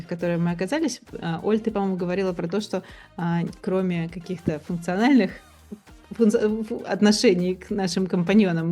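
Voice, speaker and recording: A woman's voice: fairly low pitch, mellow. A woman co-hosting a podcast, musing aloud in a casual, tentative and meandering way. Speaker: podcast co-host.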